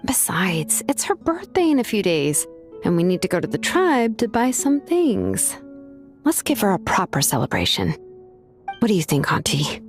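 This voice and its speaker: A woman's voice: medium pitch, normal speed. An easygoing, reassuring young craftswoman. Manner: relaxed, reassuring. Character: friendly young woman craftswoman, easygoing and helpful. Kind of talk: game cutscene voice acting. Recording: game audio with background music.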